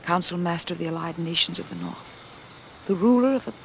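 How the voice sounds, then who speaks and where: An actress playing a devoted, anxious lover of a fallen ruler, earnest and theatrical in old radio drama style. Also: female voice, lowish voice, fairly quick, creaky. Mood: anxious, humble. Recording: noisy vintage radio broadcast.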